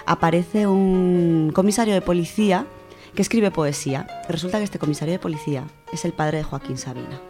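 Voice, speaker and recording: A woman's voice: on the low side, fairly quick, music in the background. A woman with an accent from Spain presents an informal book segment in a chatty, colloquial, enthusiastic way. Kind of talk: informal spoken book review.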